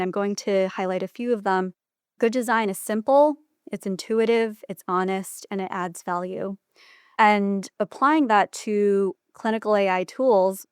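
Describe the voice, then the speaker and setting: A woman's voice: mid-range voice, clear, resonant tone, dry recording, clean studio sound. A woman speaking as an interview guest, a physician giving articulate, organized explanations point by point. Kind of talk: interview answers, measured explanatory talk.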